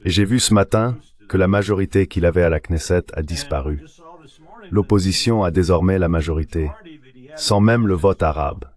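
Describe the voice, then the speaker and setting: A man's voice: deep voice, moderate pace. A man's synthetic dubbing voice delivering translated political commentary in a discussion.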